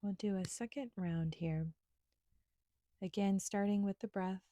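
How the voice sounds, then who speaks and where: A woman instructor leading a guided meditation in a gentle, soothing, unhurried manner with pauses. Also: medium pitch, dry recording.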